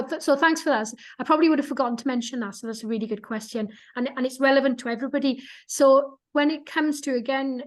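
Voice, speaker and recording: A woman speaking in a webinar, explaining with enthusiasm and frequent restarts and repeated words. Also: on the high side. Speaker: guest speaker. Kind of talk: webinar talk.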